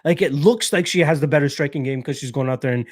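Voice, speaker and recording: A man's voice: on the high side, fast, dry recording, clean studio sound. A young man hosting a live stream, chatting casually, reading out comments and talking with loose, profane, filler-heavy speech. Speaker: podcast host reading live chat.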